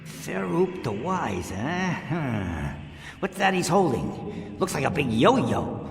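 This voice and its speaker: Bossy, scheming treasure hunter, creaky and drawn-out, theatrical radio comedy. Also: male voice, fairly high pitch, sing-song, heavily acted. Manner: theatrical, exasperated, lecturing. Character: bossy, excitable, scheming male tomb-raiding treasure hunter. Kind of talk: comic radio play acting. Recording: long cavernous reverb, music behind.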